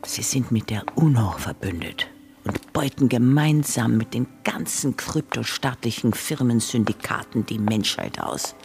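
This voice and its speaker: A woman's voice: a bass voice, animated, a little slow, vocal fry, a little mellow, faint music behind, full character voice. An actress playing a stern, formal, commanding woman who believes in conspiracies, with an Austrian accent, in a radio drama. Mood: earnest, secretive. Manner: stern, formal, earnest, imperious. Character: commanding, conspiracy-believing wife leading a separatist group.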